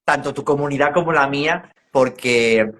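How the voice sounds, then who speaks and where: A man's voice: medium pitch, normal speed, fairly bright tone. A man in his fifties from La Mancha, a former teacher, talking reflectively as a guest in a casual video-call interview. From La Mancha, Spain. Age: 50s. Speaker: guest, educator and trainer.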